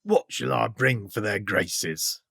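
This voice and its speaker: An actor playing a gruff, surly tavern keeper in an audio play, sneering at his guests in a gravelly voice. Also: on the low side, slow, bright, forceful tone, no echo, studio quality, full character voice. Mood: scornful.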